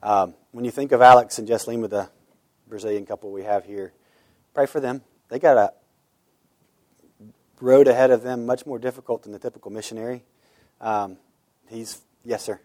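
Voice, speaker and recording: A man's voice: mid-range voice, steady tone, moderate pace, long pauses, a little mellow, no room sound. A man pastor giving informal church announcements and prayer requests, earnest and full of "uh" fillers. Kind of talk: church announcements before sermon. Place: church sanctuary.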